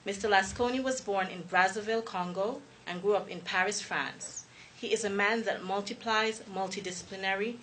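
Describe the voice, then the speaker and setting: Woman, formal introduction, bright tone, steady reading, faint background noise. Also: mid-range voice, moderate pace, poor audio. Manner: steady, formal reading with falling list endings. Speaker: event presenter introducing the speaker.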